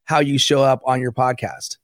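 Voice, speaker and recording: A man interviewing a podcast host, asking measured, affirming questions. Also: medium pitch, steady tone, dry recording, studio quality. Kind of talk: conversational interview.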